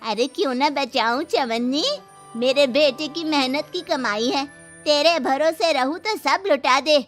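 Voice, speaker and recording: A woman plays a miserly middle-aged mother-in-law from a cartoon tale. She scolds in a high, sharp-tongued voice over light music. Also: measured pace. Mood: scornful. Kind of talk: cartoon moral story acting.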